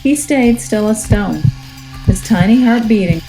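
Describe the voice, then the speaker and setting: A woman reading a children's story aloud as a teacher, in a measured, expressive and suspenseful way. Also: a little high, rough recording. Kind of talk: dramatic children's storybook narration. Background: music and nature sounds.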